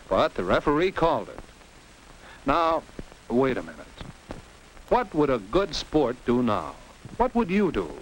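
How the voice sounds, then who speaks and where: An American man giving scripted, didactic narration for a 1950s educational film for teens, in the manner of a commentator who poses questions and exclaims. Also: a little high. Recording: noisy old 1950s film soundtrack.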